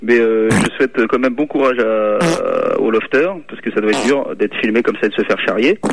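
A man's voice: medium pitch, normal speed, noisy background. A man on a radio show who talks in a mocking, teasing way.

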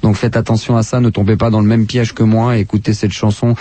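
A man hosting a radio show, talking through a hesitant personal monologue with many pauses. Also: on the low side, flat delivery, dark tone, no echo. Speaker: radio host. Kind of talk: confessional monologue.

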